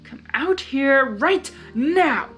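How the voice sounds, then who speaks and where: Girl doing a bossy monster guy from a storybook, theatrical and demanding, swingy pitch, music behind. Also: lively intonation, extremely slow, a bit bright, heavily acted. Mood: impatient. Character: bossy, short-tempered male trickster monster suitor.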